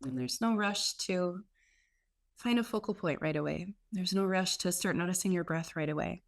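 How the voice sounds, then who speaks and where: A woman leading a guided meditation as a teacher, speaking in an unhurried way with gentle pauses between phrases. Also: lowish voice, creaky. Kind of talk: guided meditation, softly instructive.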